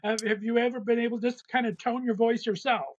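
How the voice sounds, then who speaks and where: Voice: medium pitch, steady tone, fast talker, breathy edge, lo-fi sound. A podcast host asking casual interview questions with effusive, enthusiastic praise.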